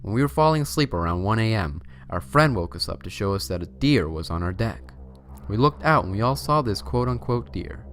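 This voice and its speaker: A man's voice: lowish voice, animated, a little fast, a bit bright, a little hiss or hum. A man hosting and reading a scary story as narration, with a theatrical, suspenseful delivery. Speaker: host and story reader.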